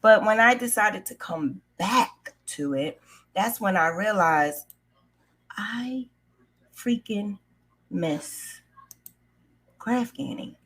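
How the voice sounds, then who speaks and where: A woman's voice: mid-range voice, animated, slow pace, long pauses, a bit bright, breathy edge. A woman telling a personal story casually and candidly, in a conversational way, repeating phrases for emphasis. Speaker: crochet craft vlogger and storyteller.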